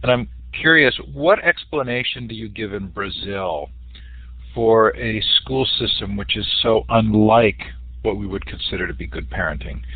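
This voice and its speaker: A man moderating the question session of an online talk, giving clear and friendly instructions. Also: on the low side, lively intonation, normal speed, no echo. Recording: tinny online call audio.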